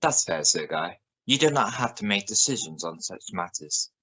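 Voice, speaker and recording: Measured, serious radio news anchor, played by a man. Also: low voice, big pitch swings, pushed and bright, husky, full character voice, poor audio. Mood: sombre, respectful. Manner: formal, measured, probing. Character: serious, probing male evening news reporter of the future. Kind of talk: scripted radio news broadcast in a drama.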